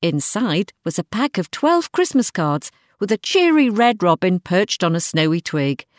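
A woman reading a scripted, diary-style short story in an expressive manner. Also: lowish voice, a little slow, no echo, clean studio sound. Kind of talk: scripted first-person story narration, diary-like.

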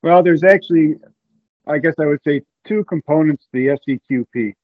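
A man giving explanatory interview answers in a halting way, repeating words. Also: on the high side. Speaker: podcast guest, amateur radio community coordinator. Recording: narrow, tinny phone-like line.

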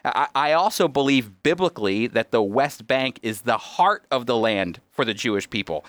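American male radio host and teacher, mid-pitched, emphatic explainer, dry close recording. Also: animated, moderate pace, no room sound.

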